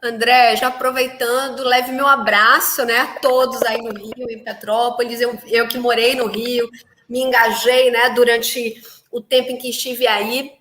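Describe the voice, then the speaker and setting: Bright, high Bahian woman in an echoey room. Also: level tone, unhurried, a little hiss or hum. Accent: Bahian, Salvador. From Brazil, Salvador. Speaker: city councillor guest. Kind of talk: political live-stream discussion.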